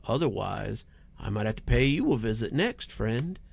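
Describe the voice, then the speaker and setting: A man's voice: on the low side, sing-song, normal speed, vocal fry, breathy edge, full character voice. A man voicing a wild backwoods killer in a horror story, intoning taunts with a falsely friendly menace. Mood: threatening, falsely friendly.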